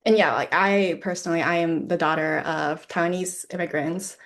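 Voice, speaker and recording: A woman's voice: on the low side, steady tone, moderate pace, fairly bright tone. A young woman from Ohio with an American accent, reflecting informally on personal experience as a panelist, often saying "like". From Ohio, United States. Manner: informal, frequent "like" as a filler.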